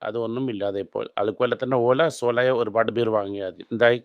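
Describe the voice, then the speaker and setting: A man's voice: lowish voice, fairly even intonation, fairly quick, dry recording, highs cut off. Blunt male financial advisor, close dry sound. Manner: blunt, instructive, uses rhetorical questions; mixes in English phrases. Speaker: financial expert answering audience questions. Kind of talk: unscripted investment advice.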